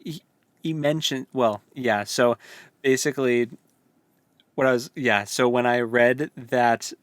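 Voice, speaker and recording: A man's voice: medium pitch, steady tone, takes it slowly, dry recording. A man co-hosting a book podcast, chatting casually and retelling scenes in an informal, jokey way with acted-out dialogue. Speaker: co-host of a book read-along podcast. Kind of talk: casual book discussion chat.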